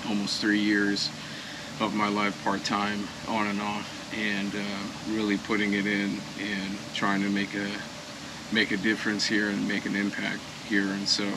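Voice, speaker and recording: Low, flat-toned American man vlogging. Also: background noise. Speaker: vlog host. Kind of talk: casual sign-off to camera. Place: open wooden shed outdoors.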